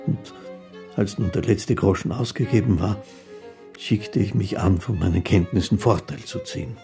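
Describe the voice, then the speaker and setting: A humble, melancholy street musician in a radio play. His very low voice has a gravelly creak as he tells his life story in a measured, reflective way. Music accompanies the narration. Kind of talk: first-person narration in a literary radio play.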